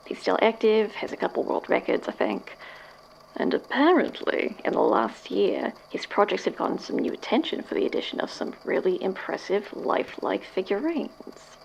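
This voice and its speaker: A woman's voice: mid-range voice, sing-song, normal speed, creaky, dull audio, slight background noise. A woman acting as a casual, slightly uneasy researcher in an audio drama, talking in an understated, tentative, conversational way. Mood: matter-of-fact.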